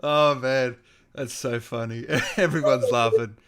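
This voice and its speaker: A man's voice: medium pitch, unhurried, crowd noise. A man hosting an interview in a casual, informal way, chatting and reading out viewer questions. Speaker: interview host.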